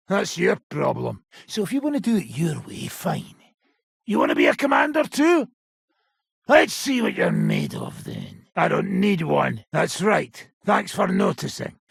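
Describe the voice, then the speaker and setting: An actor playing a gruff, cynical medieval mercenary band leader in a video game, with a gravelly, raspy voice and blunt, coarse, sardonic speech. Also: male voice, a little high, fairly bright tone, no room sound, clean studio sound, heavily acted. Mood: brusque, commanding. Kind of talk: video game character dialogue.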